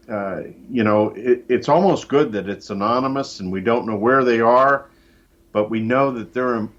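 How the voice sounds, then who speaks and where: A man answering as an interview guest in a deliberate, earnest, conversational way with frequent pauses. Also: lowish voice, a bit bright. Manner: deliberate, earnest, pausing often. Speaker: interview guest, event organizer. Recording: muffled, band-limited call-in audio.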